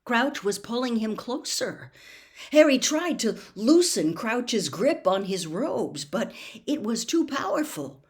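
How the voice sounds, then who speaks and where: A woman's voice: fairly high pitch, sing-song, slow pace. A woman reading a novel aloud as scripted storybook narration. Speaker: audiobook reader.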